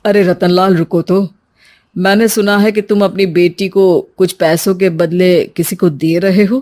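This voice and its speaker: A wealthy middle-aged gentleman from a cartoon tale, voiced by a woman. He talks in a measured, patronizing way with a calculating temper. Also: fairly low pitch, little pitch movement, lightly acted. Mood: casual.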